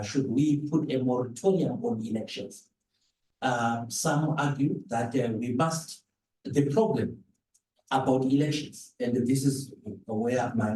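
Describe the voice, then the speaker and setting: Zimbabwean guy doing a political panel talk, mellow voice, halting but emphatic, echoey room mic. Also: mid-range voice. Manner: deliberate, hesitant phrasing with emphatic repetition. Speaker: opposition politician, panel speaker. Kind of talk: political talk at a public dialogue. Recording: roomy live event recording, slightly distant mic.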